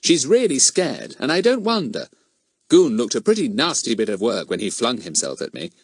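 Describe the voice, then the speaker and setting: A man's voice: mid-range voice, very animated, normal speed, breathy edge, no room sound, studio quality, voice pushed up. A man voicing a plucky, dignified schoolboy with an earnest, articulate manner, in a children's storybook. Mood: puzzled, protective. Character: plucky, dignified, well-spoken schoolboy leader.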